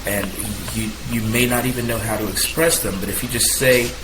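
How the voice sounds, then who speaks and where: Passionate male guest, animated delivery, roomy room with audible background noise. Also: brisk. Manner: passionate, voice rising higher and louder as he gets animated; talks with hands, bouncing while speaking. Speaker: podcast guest. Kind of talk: candid conversational sharing.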